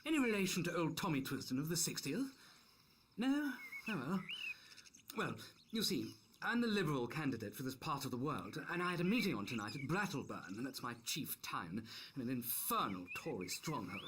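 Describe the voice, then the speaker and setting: A man voicing a hearty, flustered young upper-class English gentleman, full of effusive apologies and old-fashioned exclamations, as he reads a novel aloud. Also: medium pitch, sing-song, fairly quick, slight background noise. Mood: pleading. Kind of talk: acting out a character while reading a novel aloud.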